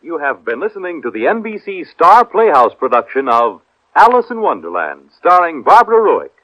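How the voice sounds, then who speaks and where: A male radio announcer from the 1950s delivers formal introductions. His voice is very animated in pitch and medium in height. It sounds dry, on a slightly dull vintage recording. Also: moderate pace, no room sound.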